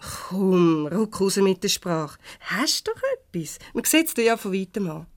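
An actress playing a defiant, quick-tongued young employee in a radio play, sharp and sarcastic, with a Swiss German accent. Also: female voice, mid-range voice, big pitch swings, dry recording. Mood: probing.

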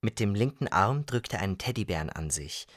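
Slightly low-voiced male literary narrator. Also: animated, no room sound, clean studio sound. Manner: measured, literary storytelling. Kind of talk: literary scripted narration in a radio feature. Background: music.

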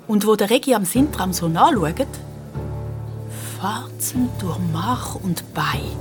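Female narrator, Swiss accent, mid-pitched, suspenseful storytelling, children's audio drama, music behind. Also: animated, takes it slowly. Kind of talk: scripted storytelling for a children's radio play.

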